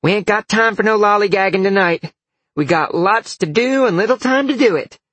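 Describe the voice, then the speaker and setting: A man voicing excitable, bossy women in a fantasy story, with urgent, husky delivery. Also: sits high, normal speed, no echo, pitched above the performer's own voice, exaggerated voice. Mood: brisk, commanding. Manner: urgent, exclamatory.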